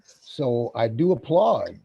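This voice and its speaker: Medium-pitched man commenting haltingly. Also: sing-song, creaky, breathy edge, dry recording, dull audio. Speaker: audience member giving feedback.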